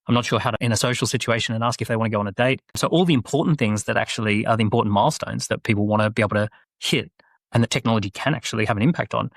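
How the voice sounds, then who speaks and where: A man speaking as a guest on a podcast, animated and persuasive. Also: mid-range voice, rapid-fire, no room sound, studio quality. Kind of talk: podcast conversation.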